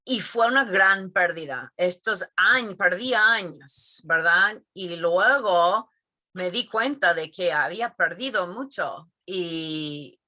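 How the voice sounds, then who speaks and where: Middle-aged woman, Spanish teacher. Low-ish, bright voice, slow and reflective. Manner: thoughtful, many fillers and tag questions. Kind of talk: interview answers.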